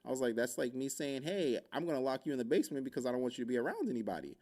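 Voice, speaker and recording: A man's voice: medium pitch, sing-song, very fast, darkish tone. A young man from Oahu telling a casual story, acting out quoted lines in shifting voices. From Hawaii, Oahu. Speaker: podcast host.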